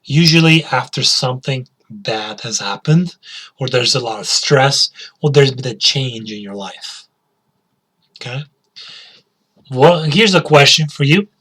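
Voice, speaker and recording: Slow-talking man teaching a lesson. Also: mid-range voice, expressive, fairly bright tone, breathy edge. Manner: asks questions and repeats key words for learners. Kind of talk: slow spoken English lesson.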